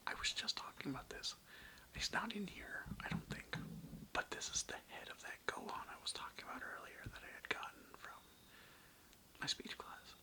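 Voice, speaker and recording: A man's voice: normal speed, bright, forceful tone, low-quality recording. A man whispering a casual, rambling, slangy ASMR monologue, close to the microphone. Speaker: ASMR video creator. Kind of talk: whispered ASMR ramble about old toys.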